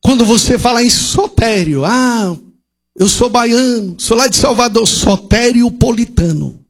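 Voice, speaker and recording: A man with a very high-pitched voice preaches at a church service, drawing out his words at an unhurried, deliberate pace. He delivers an impassioned sermon as the pastor, asking rhetorical questions and punctuating his points with cries of hallelujah.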